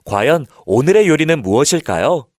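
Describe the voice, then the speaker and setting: A man's voice: a little high, sing-song, normal speed, dry recording, clean studio sound. A man narrating a scripted children's story, telling it expressively for young children.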